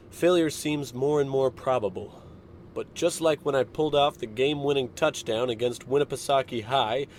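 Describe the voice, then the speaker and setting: A man's voice: medium pitch, a little hiss or hum, natural voice. A man playing a gung-ho, pompous American spaceship captain in a bombastic, earnest radio serial style. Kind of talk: 1950s radio serial parody acting.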